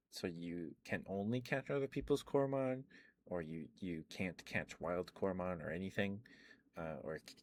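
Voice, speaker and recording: A man rambling casually about video games in a soft-spoken ASMR stream, full of ums and uhs. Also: low-pitched, no echo.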